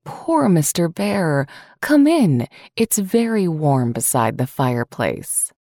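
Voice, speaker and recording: A woman playing a kind, calm, soothing mother in a children's storybook drama. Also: low voice, slow, dry recording, clean studio sound, exaggerated voice. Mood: welcoming. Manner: gentle, soothing.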